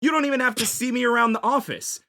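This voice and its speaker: A podcast panelist giving an improvised comic pitch, playfully salesmanlike and fond of rhetorical questions. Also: fairly even intonation, quick pace, pushed and bright.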